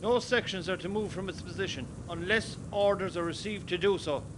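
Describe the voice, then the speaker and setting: An actor with an Irish accent playing a brisk, bossy rebel section leader in a radio play. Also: male voice, sits high, background noise, close to an everyday voice. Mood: dutiful.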